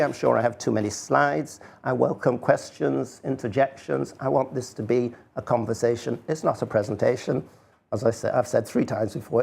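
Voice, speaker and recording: Older man, creaky voice, medium pitch, unscripted public talk, halting, rambling, self-deprecating. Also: a little mellow. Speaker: artist and poet giving a talk.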